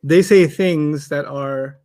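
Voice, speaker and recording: Arabic teacher, man, native German speaker, relaxed storyteller, voice a bit high. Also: takes it slowly. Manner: chatty, storytelling, laughs often. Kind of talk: casual teaching chat with anecdotes.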